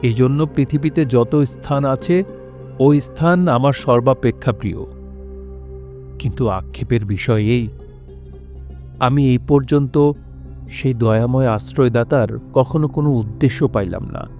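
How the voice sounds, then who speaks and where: Guy acting a grateful polite nobleman, formal measured storytelling, audio drama, soft music behind. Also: mid-range voice, close to an everyday voice. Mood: grateful, earnest. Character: grateful, courteous nobleman recounting his own past. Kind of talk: audio drama first-person storytelling, formal literary diction.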